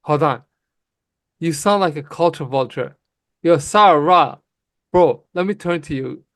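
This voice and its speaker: A man raised in Canada whose first language is Somali, arguing emphatically and quickly in a heated livestream debate, often calling the other person bro. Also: a little high, fairly bright tone, no room sound, studio quality. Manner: emphatic, fast, calls people bro. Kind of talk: heated casual argument.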